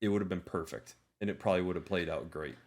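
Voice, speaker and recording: A man co-hosting a podcast, talking casually about films in a rambling, animated storytelling way with plenty of exaggeration. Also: sits low, quick pace. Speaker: podcast co-host. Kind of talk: casual movie discussion chat.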